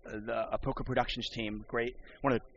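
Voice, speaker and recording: A man's voice: medium pitch, flat delivery, a little fast, muffled recording, a little hiss or hum. A man who works as a poker and sports broadcaster, chatting casually on a podcast and rambling with many restarts.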